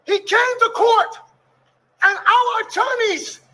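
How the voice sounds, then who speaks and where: Angry middle aged guy shouting a public denunciation, super high n sharp voice, preachy and agitated. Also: slow. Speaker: defendant's father speaking out.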